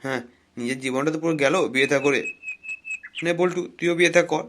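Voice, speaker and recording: Man voicing fast, grumbling cartoon big brother. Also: fairly high pitch, noisy background, lightly acted. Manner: scolding, foul-mouthed, complaining banter. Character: crude, bossy married village club elder brother, grumbling and scolding. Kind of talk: comic cartoon dialogue.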